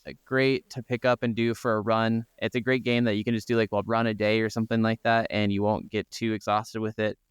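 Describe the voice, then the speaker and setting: A man's voice: on the low side, level tone, fairly quick, few pauses, clear, resonant tone, no room sound, studio quality. An American man co-hosting a podcast, chatting casually about games in an informal, rambling way. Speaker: podcast co-host. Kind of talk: casual gaming chat.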